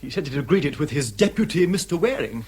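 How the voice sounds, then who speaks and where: A mild British junior researcher in a radio play. His voice is rather high and husky, lively in pitch, with an uneasy temper. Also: quick pace. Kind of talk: radio drama acting.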